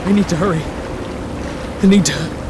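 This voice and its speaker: An actor playing a stubborn, lovesick space traveller in an audio drama, with an emotional, halting delivery broken by sighs. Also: male voice, high voice, heavy background noise, close to an everyday voice. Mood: panicked, straining. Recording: loud music and effects behind.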